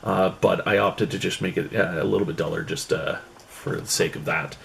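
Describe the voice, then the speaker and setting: Low gravelly stuffy-nosed man vlogging. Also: fairly even intonation, a little fast, fairly bright tone, slight background noise. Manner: rambling, many uh fillers, explains prop build step by step. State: stuffy nose from a cold. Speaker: cosplay vlogger. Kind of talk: casual show-and-tell vlog. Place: small bedroom indoors.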